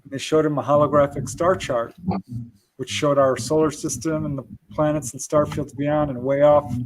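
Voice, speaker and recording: A man's voice: fairly high pitch, fairly even intonation, moderate pace, noisy background. A man speaking as a guest researcher, answering conversationally with anecdotes in an interview. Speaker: guest UFO researcher and author.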